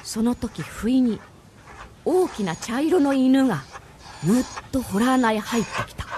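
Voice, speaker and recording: A woman's voice: fairly high pitch, animated, a little slow, background noise. A woman narrating a scripted radio drama as its storyteller.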